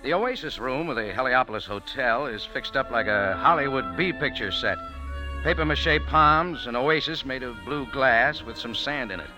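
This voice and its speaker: An actor plays a wisecracking American cafe owner in an old radio play. He narrates in a wry, hardboiled voice that swings with lively pitch. Music plays clearly beneath the broadcast. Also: normal speed, close to an everyday voice, poor audio. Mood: narrating. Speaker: narrator and lead. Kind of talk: 1940s radio acting.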